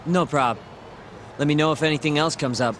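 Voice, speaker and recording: A man's voice: fairly high pitch, fairly quick, fairly bright tone, slight background noise, lightly acted. A male actor playing a composed, frank investigator in a video game cutscene. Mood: friendly, frank. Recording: faint music behind the voice. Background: faint background music.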